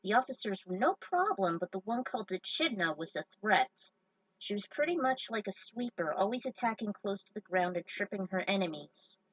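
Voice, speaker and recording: A woman's voice: medium pitch, expressive, normal speed, fairly bright tone, dry recording, distant mic, rough recording. A woman reading a story aloud, breaking off for chatty, joking asides. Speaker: fan fiction reader. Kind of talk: story narration with casual asides.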